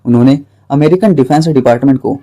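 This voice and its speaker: A man's voice: medium pitch, quick pace, dark tone. A man reading a scripted news narration in a formal, dramatic way.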